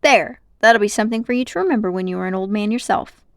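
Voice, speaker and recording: A woman's voice: mid-range voice, quick pace, no room sound, studio quality, natural voice. A woman voicing a pompous, condescending, scheming old man, a self-important magician uncle in a storybook. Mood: boastful, mysterious. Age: older. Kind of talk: storybook character voice.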